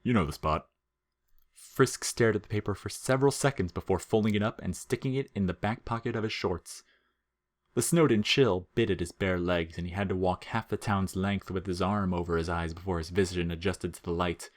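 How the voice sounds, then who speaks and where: Deep-voiced man narrating fiction. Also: steady tone, a little fast.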